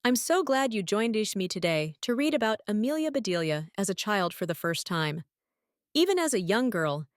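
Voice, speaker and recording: A woman narrating a children's story as a teacher would, expressive and clearly phrased for young listeners. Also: mid-range voice, dry recording, studio quality. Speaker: read-aloud teacher and storyteller. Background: sound effects and noise.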